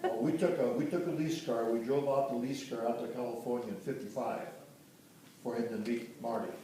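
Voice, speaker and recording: An older man from Michigan recalling his family history in a casual, halting way, often restarting and correcting himself. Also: medium pitch, steady tone, dark tone, a little hiss or hum. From Dearborn, Michigan. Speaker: interviewee recounting family history. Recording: roomy, distant home recording.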